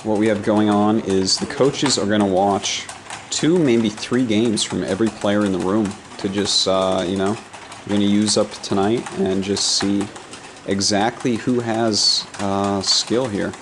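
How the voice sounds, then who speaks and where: A man narrating his own vlog in a relaxed, matter-of-fact way, explaining what is going on. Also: on the low side, unhurried, background noise.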